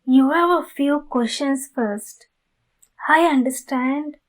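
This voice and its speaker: A woman playing a courteous, earnest female vampire in an ASMR roleplay, speaking formally to the listener with halting phrasing. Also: sits high. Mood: polite. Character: polite, pleading female vampire in need of blood.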